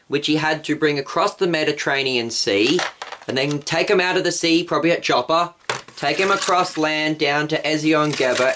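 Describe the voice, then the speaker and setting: A man's voice: a little high, fairly bright tone. A male pastor telling church history as a patient story for children. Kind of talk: children's Bible story lesson.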